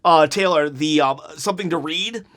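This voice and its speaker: A man hosting a wrestling channel, giving an unedited review right after a show, excitable and rambling, his voice going hoarse. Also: a little high, moderate pace. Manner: excitable, rambling, repeats himself.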